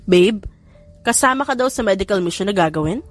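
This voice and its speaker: A woman's voice: mid-range voice, expressive, fairly quick, faint music behind, close to an everyday voice. A woman voicing a lovestruck young man in an audiobook, a playful and whiny son and suitor. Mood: teasing. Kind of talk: audiobook character dialogue.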